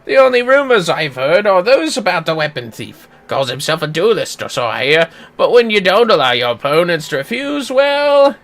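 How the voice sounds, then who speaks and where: A streamer voicing a blunt, grumbling townsman in a video game, colloquial and rough-mouthed. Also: mid-range voice, very animated, normal speed, hardly any pauses, a bit bright. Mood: matter-of-fact.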